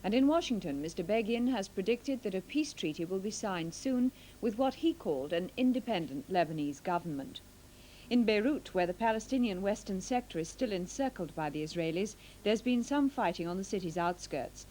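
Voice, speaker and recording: A British woman reads a scripted news bulletin in a mid-range voice. She works as a newsreader, and the recording carries a faint rumbling noise underneath. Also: animated, a little fast, warm tone, poor audio.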